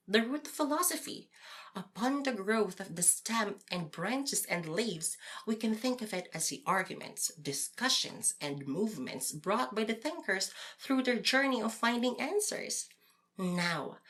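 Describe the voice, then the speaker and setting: A woman playing a calm know-it-all philosopher who lectures a friend in formal, measured wording in a podcast audio drama. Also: fairly high pitch.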